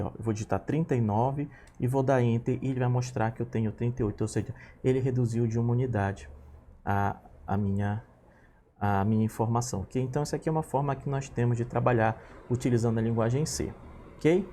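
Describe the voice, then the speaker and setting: A patient male teacher in his thirties explains code as he types, checking in often with his students. His voice is relaxed and mellow. A faint background hiss sits under the desk recording. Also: medium pitch, brisk. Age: 30s. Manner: patient, talks through each step with frequent "tá?" and "ok?". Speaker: programming teacher. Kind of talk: screen-recorded coding lesson.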